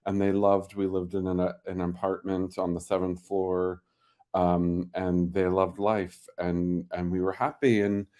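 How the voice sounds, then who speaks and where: A man from Pennsylvania, a guest on a video call, talking haltingly and emotionally about his late cat with many pauses and restarts. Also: deep voice, flat delivery, mellow. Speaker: pet owner guest. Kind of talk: emotional interview talk.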